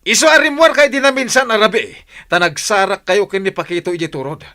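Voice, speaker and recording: A man's voice: high voice, fairly quick, pushed and bright. A man playing a jealous, hot-tempered village husband who accuses and confronts, in a radio drama. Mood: furious, accusing. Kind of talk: Ilocano radio drama acting.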